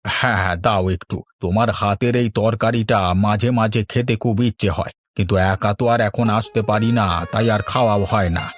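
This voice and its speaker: A man's voice: lowish voice, fairly even intonation, a little fast, no room sound, natural voice. A man voicing a doting, grumbling elderly village relative in a cartoon, with a homely, chiding manner. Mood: wistful, pleased. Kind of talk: cartoon dialogue. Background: faint music.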